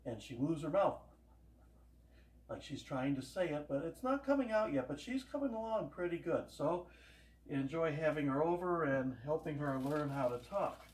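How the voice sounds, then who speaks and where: A man's voice: a little high, animated, normal speed. An older man chatting casually while he cooks at home, telling rambling homespun stories, picked up by a camera across the kitchen. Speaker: home cooking vlogger. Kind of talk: casual cooking demonstration. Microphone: camera across the room. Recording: echoey kitchen, voice from far off.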